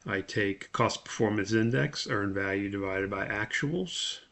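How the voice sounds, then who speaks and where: A man giving a methodical, step-by-step spoken tutorial as an instructor, with a creaky voice. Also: on the low side, a little slow, a bit bright. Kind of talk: spoken screen-share tutorial.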